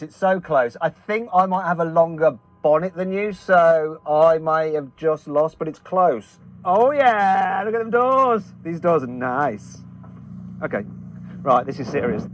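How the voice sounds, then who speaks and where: A man with an English Midlands accent hosting a car vlog outdoors on a runway, excitable and laughing often. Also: a little high, unhurried. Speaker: car reviewer and host.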